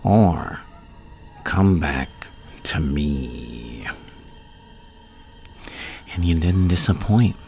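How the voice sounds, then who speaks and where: A man playing a gleefully menacing, seductive madman villain in an ASMR roleplay, teasing and taunting in a gravelly, breathy voice that often sinks to a whisper. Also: extremely low pitch, expressive, very slow, pauses often, dark tone, soft background music, exaggerated voice. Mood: gloating, teasing.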